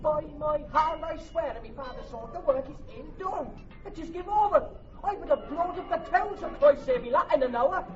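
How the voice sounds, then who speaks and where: An actor playing a hot-headed, aggrieved Irish army captain in a Shakespearean radio drama, vehement and repetitive. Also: male voice, very high voice, lively intonation, normal speed. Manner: vehement, repetitive, oath-swearing. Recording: muffled old radio recording, background noise.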